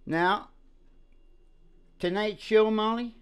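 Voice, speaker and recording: A man's voice: sits high, steady tone, slow, a bit bright, smooth. A man hosting a radio show in a casual, rambling comic monologue, with a folksy, theatrical way of talking. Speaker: radio show host and singer.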